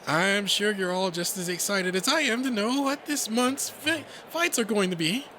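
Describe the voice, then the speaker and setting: A man's voice: high-pitched, expressive, normal speed, sharp tone, slight background noise, voice pushed up. A man voicing a timid little male rabbit in an audio story, announcing in a stammering, hesitant, nervous manner.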